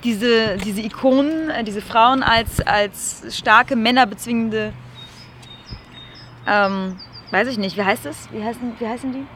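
A woman's voice: on the high side, measured pace, fairly bright tone, slight background noise. A young woman, a student, chatting casually and thinking aloud with some hesitation. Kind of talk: casual chat.

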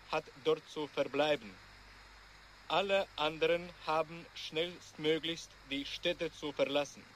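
Stern male state announcer, high voice, very slow, authoritative, faint noise. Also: a bit bright, poor audio. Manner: deliberate, authoritative, exhorting. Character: stern Soviet emergency broadcaster instructing the population. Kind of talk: formal emergency announcement, radio drama acting.

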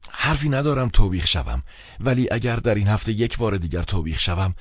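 A man's voice: lowish voice, animated, dry recording, clean studio sound, pitched above the performer's own voice. A man voicing a reluctant, rule-bound young seminarian in an audiobook, speaking in a hesitant, pained, evasive way. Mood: uneasy.

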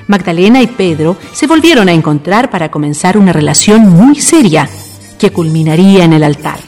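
A woman's voice: lowish voice, animated, normal speed, darkish tone. A woman reading scripted radio narration in a solemn, florid storytelling manner. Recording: long reverb over music.

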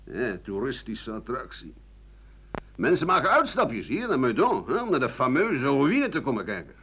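An actor playing a bitter, sardonic old doctor-writer, grumbling theatrically through a radio play monologue. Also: male voice, mid-range voice, huge pitch swings, normal speed, pushed and bright, husky, heavily acted. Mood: bitter, weary. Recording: muffled old radio broadcast recording.

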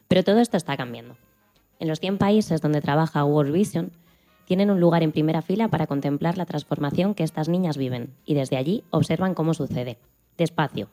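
Low, mellow-voiced woman reading aloud. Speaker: radio show presenter. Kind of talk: scripted narration, reading aloud.

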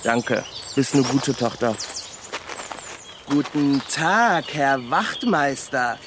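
A man's voice: medium pitch, takes it slowly, noisy background. An actor playing a cheeky, flippant man loitering in a park in a German radio drama, chatty and teasing. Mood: cheeky, needling.

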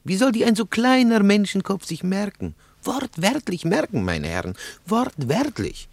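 An actor playing a nervous, talkative young Russian interpreter in a radio drama, husky and emphatic, often repeating himself. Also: male voice, high-pitched, sing-song, moderate pace, no room sound, exaggerated voice. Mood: agitated, amused. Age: young adult.

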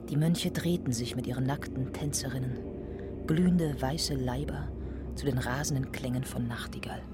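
A narrator reading literary scenes in a radio play, with music behind the voice. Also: male voice, medium pitch, fairly even intonation, normal speed.

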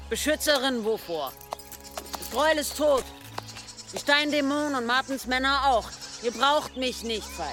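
A woman's voice: sits high, expressive, measured pace, music in the background. A woman playing a resolute, plain-spoken seasoned warrior in a German radio drama. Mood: firm, reassuring. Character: resolute seasoned female warrior and demon hunter.

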